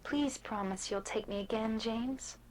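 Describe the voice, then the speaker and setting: An actress playing a gentle, wistful young wife in a video game cutscene, speaking softly and breathily, close to a whisper. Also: female voice, fairly high pitch, unhurried, rough recording. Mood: wistful, tender.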